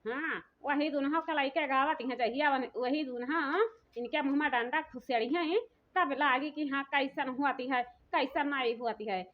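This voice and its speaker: A woman's voice: high-pitched, normal speed, natural voice. A woman voicing a poor, aggrieved village daughter-in-law in a comedy cartoon, complaining plaintively in rural dialect. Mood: indignant. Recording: dull, muffled audio.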